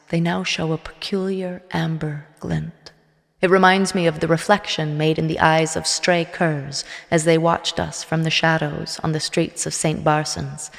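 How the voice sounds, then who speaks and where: Tense female soldier-narrator played by a woman, conflicted, dramatic audio drama storytelling, low voice in long reverb over music. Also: creaky.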